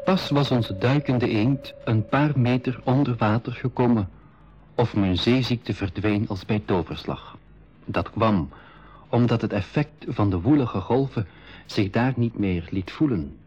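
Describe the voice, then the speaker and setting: A man narrating his own adventure calmly in a scripted 1980s radio play, with a husky voice. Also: mid-range voice. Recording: slightly dull 1980s radio recording.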